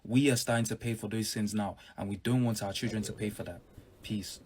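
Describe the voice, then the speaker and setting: Husky, fast-talking young man making an earnest appeal. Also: on the low side, fairly even intonation, a little mellow. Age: young adult. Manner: earnest, listing grievances in short punchy phrases. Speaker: young commentator on social media. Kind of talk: impassioned spoken appeal.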